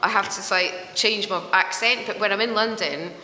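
A woman's voice: medium pitch, moderate pace, bright, forceful tone, slight background noise. A middle-aged Scottish woman from Edinburgh, a podcast guest chatting casually, laughing often and acting out voices in her stories. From Scotland. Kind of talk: casual interview chat. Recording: roomy hall reverb with faint ambience.

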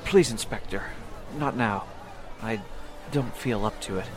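An actor playing a dutiful, protective young adult son in a 1940s-style radio drama. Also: male voice, lowish voice, unhurried, a crowd in the background, natural voice. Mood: subdued, polite.

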